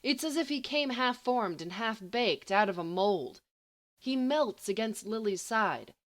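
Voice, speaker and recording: A woman reading a story aloud as an expressive audiobook narrator. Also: a little high, measured pace. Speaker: volunteer audiobook reader.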